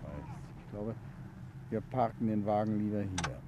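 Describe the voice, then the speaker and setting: An actor playing a calm, dry-witted detective in a 1950s radio drama. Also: male voice, on the low side, measured pace, lo-fi sound, background noise, lightly acted. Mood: cautious. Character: calm, wry detective, middle-aged man.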